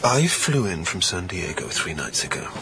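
A man's voice: low voice, very animated, normal speed, noisy background. An actor playing a bewildered, anxious father in an audio drama, insistent and full of agitated questions. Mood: uneasy, insistent. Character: bewildered, anxious divorced father, a research scientist.

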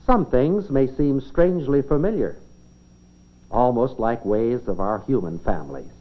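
Animated American man narrating a vintage educational film. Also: mid-range voice, unhurried. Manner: storytelling, explaining simply as if to children. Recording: dull, band-limited 1950s film soundtrack.

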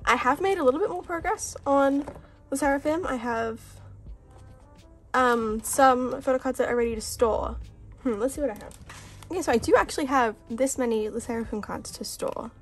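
A young woman, chatting casually as a vlogger while she sorts her binders, her voice rough from a cough, often giggling. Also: high-pitched, moderate pace, long pauses, faint music behind. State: sounds sick, coughing voice. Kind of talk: casual chat. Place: indoors at a desk. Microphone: overhead camera, hands only.

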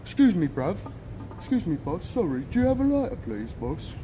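A man's voice: high voice, very animated, fairly quick, music in the background. A young man streaming live on a city street, joking and chatting with strangers on a handheld camera. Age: young adult. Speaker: IRL streamer. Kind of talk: casual street livestream banter. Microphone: handheld camera at arm's length. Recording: outdoor street noise.

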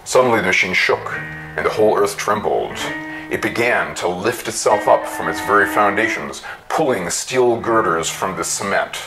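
Low-voiced man reading a story dramatically. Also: music in the background, poor audio. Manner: theatrical, deliberate emphasis. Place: small indoor room with bookshelves.

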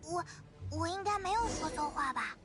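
Tiny floating fairy sidekick: very high, squeaky, excitable, swooping delivery over game music. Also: female voice, moderate pace. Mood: reassuring. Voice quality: squeaky, childlike. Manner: exclamatory, dramatic. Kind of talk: anime game voice acting.

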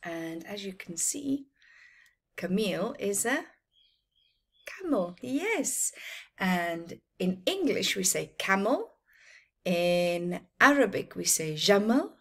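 A woman's voice: mid-range voice, very animated. A middle-aged woman with a South African accent and Afrikaans as her first language, reading a story to children emphatically with teacherly asides. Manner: slow, deliberate, emphatic delivery with teacherly asides. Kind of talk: expressive read-aloud storytelling to children. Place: small plain room at home, indoors.

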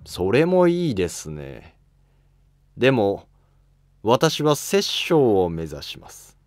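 A man's voice: fairly low pitch, wildly swooping pitch, takes it slowly, darkish tone, no echo, exaggerated voice. Guy doing ambitious young noble son, polite but cutting, defiant, history drama, dry audio. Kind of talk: amateur historical drama dialogue.

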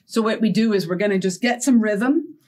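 A middle-aged woman hosting a storytime for young children, talking in an animated way with simple questions and playful surprise. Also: mid-range voice, fairly quick. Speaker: children's librarian and storytime host. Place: small indoor set with felt board and book cart.

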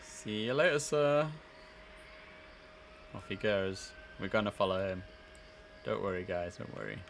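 A man in his thirties commentates a flight control game live with very animated pitch. He chats casually and slips into formal controller instructions. Some noise sits behind his voice. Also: unhurried, gravelly. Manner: chatty, mixing casual asides with formal radio calls. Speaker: streamer playing an air traffic controller. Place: indoor room, live stream.

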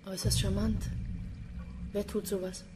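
An actress playing a dry, sardonic police inspector in a German radio crime drama. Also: female voice, medium pitch, flat delivery, normal speed, background noise, natural voice. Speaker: police detective character.

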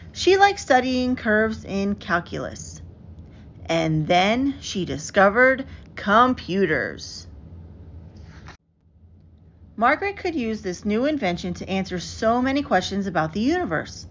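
Woman, mid-pitched voice, expressive storybook narration, careful enunciation, slightly dull lo-fi sound. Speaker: storybook reader. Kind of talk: scripted children's picture book read-aloud. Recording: dull lo-fi audio with clear background noise.

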